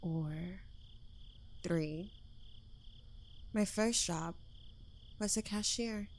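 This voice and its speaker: Woman acting a slow, smug yandere witch girlfriend. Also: medium pitch. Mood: smug, playful. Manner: teasing, smug, drawling, dropping into whispers. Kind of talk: intimate ASMR roleplay addressed to the listener.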